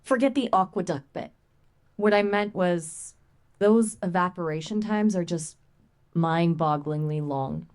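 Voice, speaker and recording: Playful low-pitched woman chatting casually. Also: a little slow. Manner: playful, expressive, colloquial. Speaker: conversational AI voice assistant. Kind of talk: casual conversational chat.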